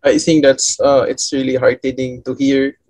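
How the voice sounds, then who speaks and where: A man with a Filipino accent answering as an interview guest, conversational and halting, circling back over his phrases with frequent fillers. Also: medium pitch, little pitch movement, darkish tone, no room sound, low-quality recording. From Philippines. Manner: halting, repetitive, frequent "you know" filler.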